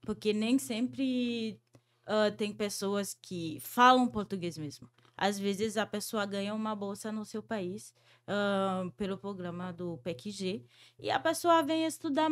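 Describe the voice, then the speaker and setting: A woman whose first language is French, hosting a podcast interview and talking in a hesitant way, with many fillers and restarts. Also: mid-range voice. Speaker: podcast host. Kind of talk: conversational interview.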